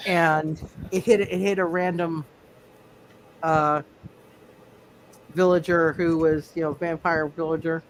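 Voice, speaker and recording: High-pitched man running a tabletop game. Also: level tone, takes it slowly, long pauses, slight background noise, rough recording. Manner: repeats words and phrases while thinking. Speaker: game master. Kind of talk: improvised narration of a live tabletop roleplaying game.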